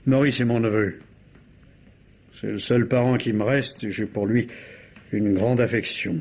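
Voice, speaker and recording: A muffled old radio crime drama recording presents a middle-aged gentleman with a husky voice. He speaks formally and precisely, with refined diction and the guarded manner of someone shielding his family. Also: on the low side, steady tone, measured pace. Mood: guarded, sorrowful. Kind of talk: mid-century French radio crime drama acting.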